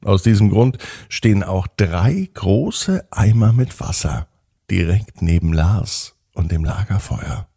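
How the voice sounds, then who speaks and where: Deep, husky man telling bedtime stories. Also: expressive, slow pace, mellow, dry recording, clean studio sound. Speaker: host and narrator of a bedtime story podcast. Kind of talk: scripted bedtime story narration for children.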